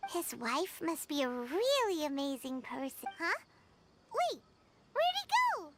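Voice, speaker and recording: A woman voicing a tiny, childlike, chirpy fairy companion in a video game, squeaky, excitable and very expressive. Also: measured pace, very noisy, full character voice. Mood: excited. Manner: squeaky, expressive, speaks of self by name. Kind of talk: scripted video game dialogue. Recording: loud game music behind voice.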